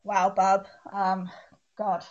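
A woman's voice: medium pitch, completely flat delivery, extremely slow. A woman calling in to a show, speaking in a hesitant, subdued, casual way. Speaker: caller and writer of the tribute. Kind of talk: casual call chat. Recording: dull, quiet call audio.

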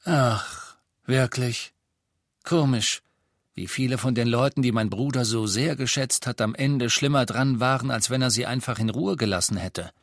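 A man voicing a bitter old male tavern keeper who talks bluntly and scornfully, often slowly and with weight. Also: mid-range voice, no echo, studio quality, pitched above the performer's own voice, lightly acted. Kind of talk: audiobook character voice.

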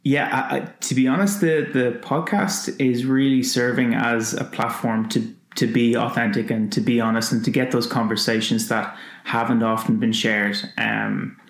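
A man hosts an interview podcast in a casual, halting way, with many ums and restarts. His voice sits in a middle pitch range. The recording has a roomy echo. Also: steady tone, gravelly. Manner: hesitant, many fillers. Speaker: podcast host.

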